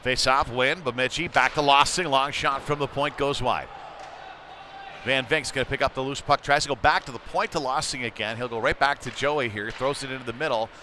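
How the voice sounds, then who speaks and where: A man with a mid-range voice commentates a live ice hockey game. He calls the play in short, clipped fragments. Clear noise from the rink runs behind his voice throughout. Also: mid-range voice, fairly quick, pushed and bright. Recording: noisy rink ambience behind voice.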